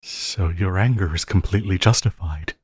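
A man playing a lovesick, possessive supervillain in an intimate audio roleplay, pleading haltingly and using tender endearments. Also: deep voice, a little mellow, breathy edge, dry recording, studio quality. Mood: pleading, remorseful. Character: lovesick, possessive yandere supervillain pleading with his beloved hero.

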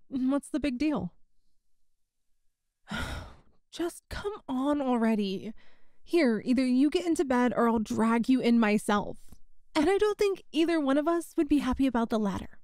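A woman's voice: high-pitched, a little mellow, dry recording, studio quality. A woman playing a teasing young woman, a friend's older sister, in a conversational audio roleplay. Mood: playfully exasperated. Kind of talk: intimate ASMR-style audio roleplay, one side of a conversation.

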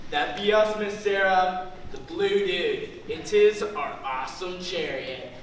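A man's voice: high voice, slow. A man acting a laid-back, spacey young stoner in a stage comedy, with drawling slacker talk. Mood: spaced out. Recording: distant, very echoey, noisy theatre stage recording.

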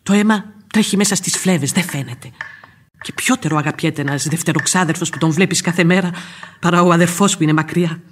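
An actress playing an outspoken, superstitious housekeeper who complains bluntly in a radio play. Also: female voice, fairly low pitch, slight background noise, lightly acted. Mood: musing, earthy. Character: outspoken, grumbling, superstitious female housekeeper and nanny. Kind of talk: radio theatre acting. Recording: radio drama with faint music behind.

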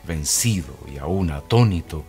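A man narrating a scripted radio drama as a measured storyteller. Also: low voice, lively intonation, dark tone. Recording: music behind the voice.

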